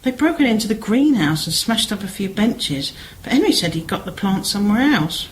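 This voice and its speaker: A woman's voice: medium pitch, sing-song, brisk, slight background noise, close to an everyday voice, low-quality recording. A woman acting a chatty, concerned village sister in an amateur radio play, gossipy and persuasive. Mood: confiding, worried.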